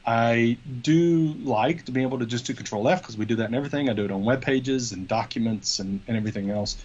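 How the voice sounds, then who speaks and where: A man's voice: medium pitch, quick pace, darkish tone, a little hiss or hum. Man chatting tech, slightly dull call audio. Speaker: co-host of a tech news show. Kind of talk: casual tech discussion. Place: small home office, video call.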